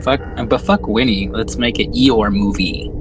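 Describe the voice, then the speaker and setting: A man's voice: on the low side, a little slow, noisy background. A man co-hosting a podcast, chatting casually about films in slangy, profane, laid-back buddy talk. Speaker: podcast host. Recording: music playing behind the voice. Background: music.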